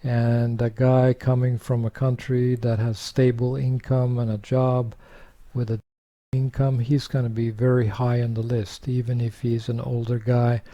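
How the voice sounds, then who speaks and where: Dark-voiced older man, rambling. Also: medium pitch, level tone, unhurried, dull audio. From Norway. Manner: rambling, many fillers, opinionated. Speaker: vlogger. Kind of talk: casual talk to camera.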